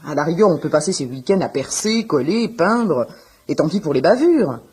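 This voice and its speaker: An actress playing a firm, wry female doctor who lectures in a measured, dryly ironic way in a French radio comedy. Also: low-pitched, big pitch swings, a little mellow, breathy edge, full character voice. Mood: stern, lecturing.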